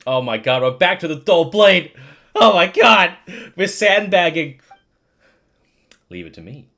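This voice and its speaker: A man giving casual, profane and excitable commentary while he plays a video game. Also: high voice, a little slow. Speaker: game streamer.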